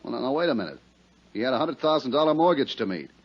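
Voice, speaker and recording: An American actor playing a plain-spoken, puzzled but sympathetic harbor police inspector in a 1950s radio drama. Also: male voice, mid-range voice, very animated, fast, slightly rough. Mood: puzzled, surprised. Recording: muffled 1950s radio recording.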